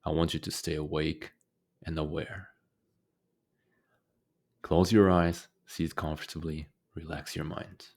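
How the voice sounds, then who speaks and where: A man leading a guided meditation as a teacher, speaking calmly and deliberately with frequent pauses. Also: extremely low pitch.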